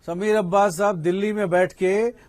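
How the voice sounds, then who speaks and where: A man's voice: high-pitched, fairly even intonation, moderate pace, a little mellow, no echo. A man on a televised political debate panel, insistent, repeating the host's name to get the floor.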